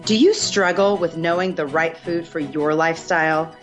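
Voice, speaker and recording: A woman's voice: deep voice, moderate pace, faint music behind. A woman who hosts a podcast and works as a health coach, speaking to listeners and guests. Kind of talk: podcast interview and scripted promo.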